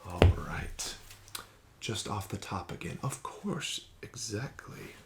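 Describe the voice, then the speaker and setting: A man hosting an ASMR roleplay as a casino dealer, soft-spoken and often whispering, smooth and gently coaxing. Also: on the low side, lively intonation, takes it slowly, noisy background.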